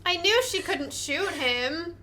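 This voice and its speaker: A woman's voice: very high-pitched, expressive, slow pace, sharp tone. A woman giving casual, reactive let's play commentary on a video game. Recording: noisy, game sound behind. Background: video game audio.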